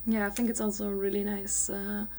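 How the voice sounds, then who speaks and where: A woman's voice: mid-range voice, completely flat delivery, normal speed, a little mellow, slight background noise. A young woman, a student panelist, chatting casually about her own experiences. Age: young adult. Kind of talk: casual chat.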